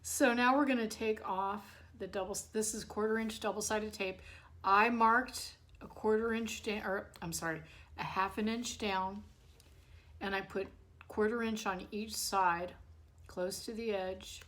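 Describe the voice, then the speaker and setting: Hoarse woman, casual chatty tutorial host, talks while working at the sewing table. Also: mid-range voice, fairly even intonation, unhurried, a bit bright. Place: home sewing studio by a window.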